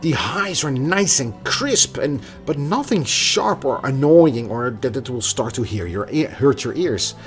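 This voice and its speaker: A man's voice: mid-range voice, expressive, measured pace, few pauses, faint music behind. A man presenting a product review in a conversational, emphatic way, occasionally stumbling over a word. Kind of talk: scripted product review with casual asides.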